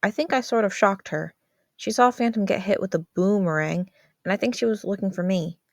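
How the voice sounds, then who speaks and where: A woman's voice: medium pitch, fairly quick, no echo, studio quality, pitched above the performer's own voice, close to an everyday voice. A woman voicing a sheepish, defensive teenage boy in a story, hesitant and full of excuses. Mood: sheepish, apologetic.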